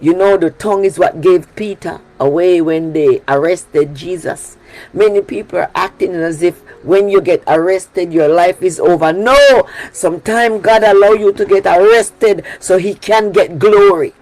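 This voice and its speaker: A woman's voice: lowish voice, a little slow, darkish tone, low-quality recording. A woman from Jamaica with a Jamaican accent, preaching a sermon on her prayer broadcast in an emphatic style that repeats key phrases. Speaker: pastor leading a prayer broadcast.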